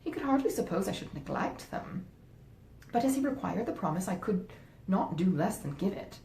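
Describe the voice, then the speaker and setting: Woman reading as a grave, mellow gentleman husband. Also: sing-song, normal speed, gravelly. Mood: earnest. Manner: earnest, pompous, deliberating. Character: grave, well-meaning but easily swayed gentleman husband. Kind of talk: acted dialogue in a classic novel reading. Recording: roomy echo, faint rumbling noise.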